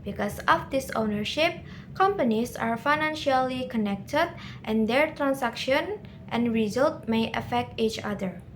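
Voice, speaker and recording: A woman's voice: fairly high pitch, animated, a little slow. A young woman with an Indonesian accent giving a careful, formal rehearsed slide presentation as a student. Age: young adult. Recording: slight background noise.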